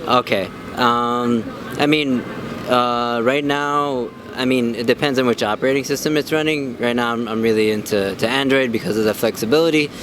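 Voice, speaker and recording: Man, mid-pitched, casual interview, hesitant and halting, clear street noise. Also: steady tone, fairly bright tone. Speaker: member of the public being interviewed. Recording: noisy outdoor urban street with traffic.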